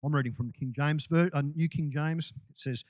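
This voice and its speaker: A man's voice: on the high side, steady tone, a little fast, a little mellow, no echo. A man preaching a sermon to his congregation in a loose, conversational way, with restarts and asides. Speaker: pastor.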